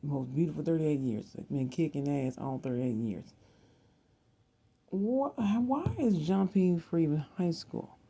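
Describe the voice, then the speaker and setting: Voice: medium pitch, unhurried, dark tone, breathy edge. A person in their thirties, hosting a rambling, casual psychic video monologue, often circling back and repeating phrases. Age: 30s. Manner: repeats words and phrases, digressive. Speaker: tarot and psychic reading video host.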